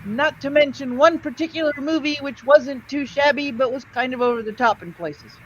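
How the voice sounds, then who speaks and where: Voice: high-pitched, moderate pace, muffled recording, a little hiss or hum. A host casually presenting and reading aloud to a live audience, drawing out dramatic words with theatrical emphasis. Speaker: host of an old-time radio show stream.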